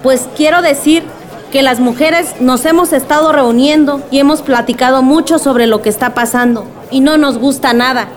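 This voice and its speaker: Actress playing determined village woman, high voice, insistent, radio drama, faint crowd behind. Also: steady tone, moderate pace, natural voice. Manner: earnest, insistent. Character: resolute village woman speaking up for her community.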